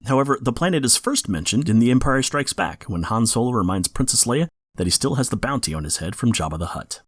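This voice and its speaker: A man's voice: medium pitch, big pitch swings, fast talker, dry recording. A man hosting a podcast in scripted, informative narration. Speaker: podcast host.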